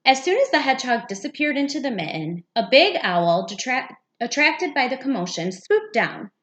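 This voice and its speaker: A woman's voice: high-pitched, sing-song, normal speed, a bit bright. A woman, a teacher, reading a picture book aloud for young children. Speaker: second-grade teacher.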